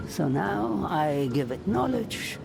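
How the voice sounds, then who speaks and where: A man's voice: sits high, sing-song, takes it slowly, vocal fry, heavily acted. An actor playing a weary, cryptic old man of the swamp in a video game, ominous and theatrical. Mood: bitter, weary. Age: older. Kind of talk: dramatic video game acting. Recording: music behind the voice.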